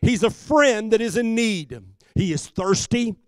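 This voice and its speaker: A middle-aged man delivers a church sermon in a fairly high-pitched voice. He repeats phrases deliberately and leans on key words with emphasis. He speaks into a close handheld microphone, and the sound is dry with little room in it. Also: lively intonation, clear, resonant tone, no echo. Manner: emphatic, deliberate repetition and rhetorical questions. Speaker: pastor, preacher. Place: church sanctuary stage at a pulpit. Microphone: handheld microphone held close.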